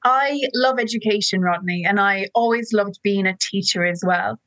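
Lively Irish woman in her forties. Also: medium pitch, moderate pace, no echo. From Ireland. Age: 40s. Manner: chatty, enthusiastic storyteller. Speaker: guest, education app co-founder. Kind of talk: podcast interview. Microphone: remote call.

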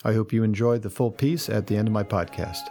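A man's voice: fairly low pitch, fairly quick, loud music behind the voice. A man hosting a podcast, reading the intro and sponsor notes, then asking friendly questions with fillers. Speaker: podcast host.

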